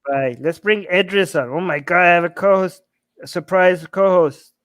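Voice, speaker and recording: A man hosting a casual livestream call-in, excited and quick to praise his guests. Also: sits high, no echo. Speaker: livestream host.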